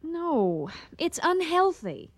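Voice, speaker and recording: A woman's voice: very high voice, very animated, takes it slowly, full character voice. An actress playing a loving but nagging, ambitious woman cook in a radio comedy-drama. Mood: worried. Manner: earnest, fretting.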